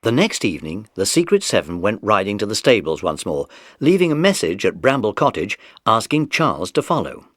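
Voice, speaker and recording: A man's voice: fairly low pitch, big pitch swings, brisk, a bit bright, no echo, clean studio sound. A man narrating a children's mystery story as scripted audiobook narration. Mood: measured.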